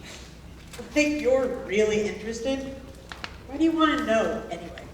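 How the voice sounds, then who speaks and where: A woman's voice: on the high side, lively intonation, measured pace. A hard-shelled woman convict, played by a stage actress, blunt and guarded. She is heard at a distance in a heavily echoing room, as in a live staged reading. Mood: curt, irritated. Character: hardened, curt, bookish former runaway woman prisoner. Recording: very echoey room, far mic, faint noise.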